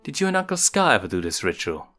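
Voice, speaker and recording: A man voicing an earnest young lion cub prince with a casual, halting, boyish way of talking. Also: lowish voice, big pitch swings, pushed and bright. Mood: curious. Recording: music behind the voice.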